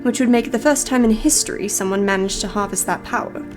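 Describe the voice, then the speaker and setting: Woman playing a high-voiced, scholarly lecturer. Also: over music, lightly acted. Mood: lecturing, precise. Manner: measured, lecturing. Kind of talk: video game character voice read aloud.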